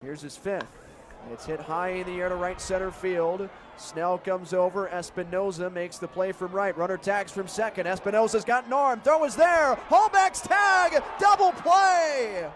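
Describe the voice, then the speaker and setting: American guy doing radio play by play, really high excitable voice, clipped phrases, loud crowd noise behind him. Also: moderate pace. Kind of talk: live sports play-by-play commentary. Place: sports venue broadcast position. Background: crowd and venue noise.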